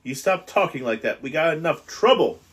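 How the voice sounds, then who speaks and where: A man's voice: a little high, animated, moderate pace, a bit bright, breathy edge, voice pushed up. A man reading aloud and voicing an earnest boy leader, worried and urgent.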